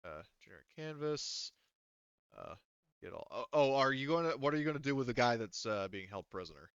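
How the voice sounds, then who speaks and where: A man acting as game master, casually narrating a tabletop roleplaying game in a halting way as he thinks aloud. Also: mid-range voice, sing-song, a bit bright, clean tone, no room sound, lo-fi sound.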